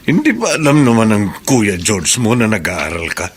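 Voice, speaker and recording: A man's voice: fairly low pitch, huge pitch swings, normal speed, slightly rough, exaggerated voice. A man with a Filipino accent playing a kindly, wise mentor who gives fatherly counsel in a radio drama. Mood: caring, probing. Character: kindly, wise male mentor to a young prisoner.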